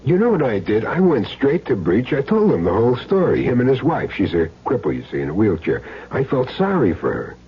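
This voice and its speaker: A man's voice: medium pitch, huge pitch swings, a little fast, few pauses, breathy edge, heavily acted. An American man acting a cagey small-time hired gun in an old radio crime drama, streetwise and earnestly insisting he is clean. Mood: earnest, self-justifying. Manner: streetwise, plain slangy talk, earnest self-justifying. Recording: dull, noisy old radio recording with hum.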